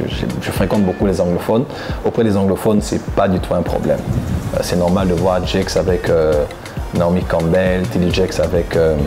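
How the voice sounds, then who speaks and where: A man in his thirties from Congo, a preacher answering interview questions in a studio, smiling and speaking thoughtfully with frequent pauses. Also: low-pitched. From Democratic Republic of the Congo. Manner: smiling, gesturing with both hands, thoughtful pauses with many "euh". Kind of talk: relaxed interview answers to camera. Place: plain grey studio backdrop, indoors. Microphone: lapel mic. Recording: background music under the voice.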